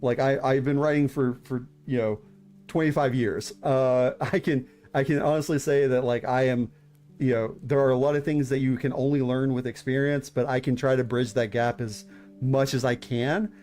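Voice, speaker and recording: A man's voice: mid-range voice, steady tone, moderate pace, darkish tone, soft background music. A man in his thirties hosting a live stream, chatting casually with viewers, hesitant with many fillers and restarts. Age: 30s. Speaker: VTuber streamer hosting writing critiques.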